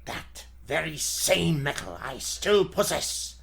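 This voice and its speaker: A man playing a feeble, elderly, excitable scientist with a grandiose, formal delivery in a 1940s radio serial style. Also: a little high, slow pace, vocal fry, heavily acted. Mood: grave, secretive. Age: older.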